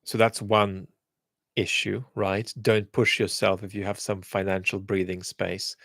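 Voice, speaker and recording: A man answering viewer questions in a casual chat, speaking in a thoughtful, measured way with frequent restarts. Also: fairly low pitch, level tone, gravelly, fairly bright tone, dry recording, clean studio sound. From England.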